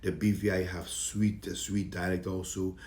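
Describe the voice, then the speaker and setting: Vincentian comedian guy, real low voice, jovial and rambly, echoey room. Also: flat delivery, moderate pace, a little mellow. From Saint Vincent and the Grenadines and the British Virgin Islands. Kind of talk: casual self-introduction to fans.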